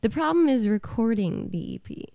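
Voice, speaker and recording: A woman's voice: on the high side, big pitch swings, normal speed, creaky, dark tone, no room sound. A woman, a singer-songwriter, chatting casually as a podcast guest with a playful, teasing way of chiming in. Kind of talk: casual chat.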